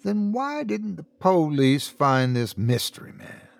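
Man acting a menacing Louisiana drawler, possessed, slow. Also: a little high, very animated, vocal fry, no room sound, pitched above the performer's own voice, heavily acted. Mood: sly taunting. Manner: drawling, mockingly sweet. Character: possessed young man, menacing, taunting, sly.

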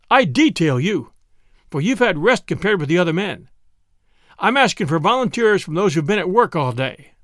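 A man playing a brisk army captain who gives orders in a loud, commanding way. The voice sits high and lively, recorded dry and close. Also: dry recording.

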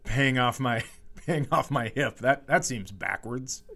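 A man's voice: mid-range voice, takes it slowly, creaky, a bit bright. A man co-hosting a podcast in casual joking chat, sarcastic and blunt, swearing freely. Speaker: podcast co-host.

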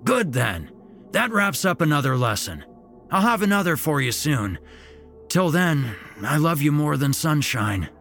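A man's voice: mid-range voice, expressive, measured pace, a bit bright, faint music behind, pitched above the performer's own voice. A man voicing a sinister, falsely cheerful old grandfather with a folksy, chipper manner that turns menacing.

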